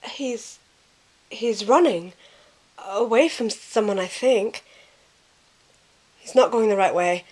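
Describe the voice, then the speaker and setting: A woman's voice: medium pitch, slow, long pauses, a little mellow, low-quality recording. Woman playing a kind, caring young woman. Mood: worried, urgent. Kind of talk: audio drama acting.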